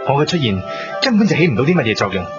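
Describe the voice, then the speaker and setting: Man acting a very animated young Hong Kong suitor. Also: mid-range voice, rapid-fire, natural voice. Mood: challenging. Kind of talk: 1990s radio drama acting. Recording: muffled 1990s radio broadcast under loud music.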